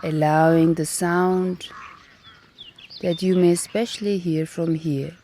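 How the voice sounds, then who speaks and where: Calm lady leading a meditation outside, really slow and mellow, long pauses, birds in the background. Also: lowish voice, a little hiss or hum. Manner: calm, gentle, long pauses between short phrases. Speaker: meditation and yoga teacher. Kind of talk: guided meditation instruction. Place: outdoors in nature. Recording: outdoor recording with birdsong behind. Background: birdsong and natural ambience.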